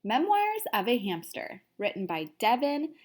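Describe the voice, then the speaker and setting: A woman introduces a children's read-aloud like a teacher. She speaks slowly and deliberately. Her voice is fairly high, with expressive movement in the intonation.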